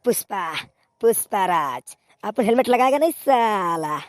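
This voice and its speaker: A woman voicing a stubborn, swaggering male rebel in a cartoon film parody, with drawn-out, defiant catchphrase delivery. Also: medium pitch, expressive, no echo, clean studio sound, close to an everyday voice.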